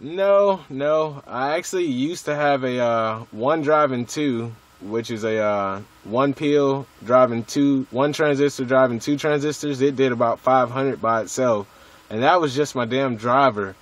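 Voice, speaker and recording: A man's voice: mid-range voice, unhurried. An American man chatting casually as a radio hobbyist, in a laid-back, slangy way with some swearing.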